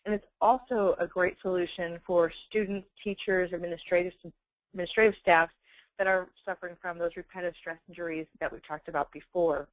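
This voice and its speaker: A woman presents software in a webinar, speaking in a fairly low voice. Her delivery is semi-scripted yet conversational and explanatory. The sound is dry, with very little room around the voice. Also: steady tone, fairly quick, no room sound, poor audio.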